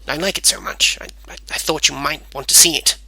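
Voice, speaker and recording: A man's voice: on the high side, fairly even intonation, fairly quick, vocal fry, bright, forceful tone, husky, exaggerated voice. A man voicing an eager, proud amateur inventor in a narrated story. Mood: eager, earnest.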